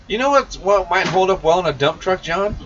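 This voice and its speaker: A man's voice: sits high, lively intonation, fairly quick. A muffled livestream recording picks up a man a little way from the mic, with faint noise around him. He hosts casually, answering viewers directly in a folksy, slangy manner. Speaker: host of a CB radio shop live stream. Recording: muffled, noisy, distant-mic livestream audio.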